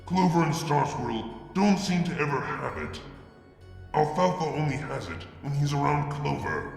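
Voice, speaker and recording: A man reading a fanfic aloud in a soft, halting first-person narration. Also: on the high side, lively intonation, vocal fry, roomy sound, in a large hall, soft background music. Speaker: fanfic reader. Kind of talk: dramatic story reading.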